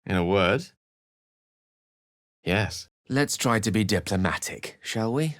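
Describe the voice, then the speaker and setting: Low-voiced, eloquent wizard. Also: male voice, lively intonation, slow, no room sound, clean studio sound. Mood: earnest, genial. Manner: articulate, erudite, theatrical. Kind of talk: video game character acting and narration.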